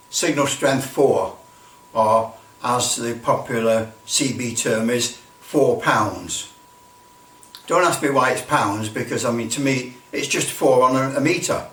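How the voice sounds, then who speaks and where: A man's voice: medium pitch, fairly bright tone. A man giving an informal step-by-step demonstration, explaining as he goes and often repeating himself. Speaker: presenter of a hobby demonstration. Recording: roomy echo, distant microphone.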